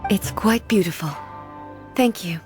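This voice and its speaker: A woman's voice: medium pitch, a little slow, over music, lightly acted. A woman playing a solemn, dignified ruler in a video game, speaking formally and contemplatively. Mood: composed. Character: solemn, dignified female ruler, reflective and stoic.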